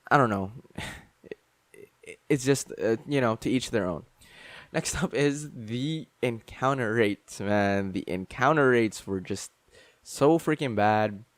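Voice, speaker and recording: A man's voice: medium pitch, no echo. A young man hosting a game playthrough, talking casually about the game in a rambling way, full of fillers and restarts. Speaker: let's play host. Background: game music.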